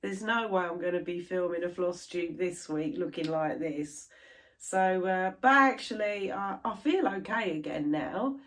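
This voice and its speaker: A middle-aged British woman chatting casually to camera about her crafts, sounding stuffy and a little croaky from a chest infection. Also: on the low side, measured pace, fairly bright tone. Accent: British English. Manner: chatty, rambling, laughs easily. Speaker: cross stitch vlogger.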